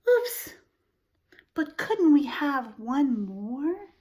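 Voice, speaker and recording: A woman's voice: high-pitched, sing-song, drawn-out words, darkish tone, full character voice. A woman voicing eager, dreamy owls from a picture book, pleading and exclaiming. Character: eager, dreamy colorful owls begging for stories and play.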